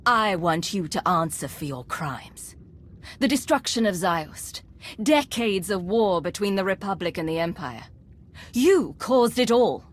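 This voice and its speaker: An actress playing a defiant, cool-headed agent and commander in a video game, firm, controlled and challenging. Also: female voice, medium pitch, expressive, unhurried. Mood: accusing. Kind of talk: dramatic video game voice acting.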